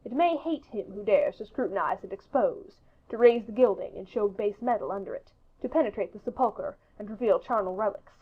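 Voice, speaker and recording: A woman's voice: mid-range voice, expressive, a little fast, hardly any pauses, breathy edge, muffled recording. A woman reading a literary audiobook in a formal, precise and emphatic way. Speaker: audiobook narrator. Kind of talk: scripted literary audiobook narration.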